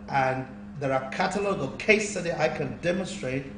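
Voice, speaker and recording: Male business coach guest, persuasive and repetitive, slightly high voice, distant mic with faint noise. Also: normal speed, a bit bright, echoey room. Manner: persuasive, repetitive pitch-style delivery. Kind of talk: interview answers.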